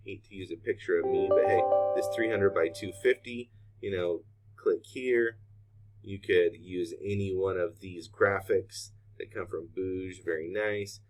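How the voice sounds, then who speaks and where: A middle-aged man, walking through a software tutorial in a casual, unscripted way as a trainer. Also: low voice, steady tone, slow pace, faint music behind. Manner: casual, unscripted, thinking aloud while clicking. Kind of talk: informal screen-share tutorial.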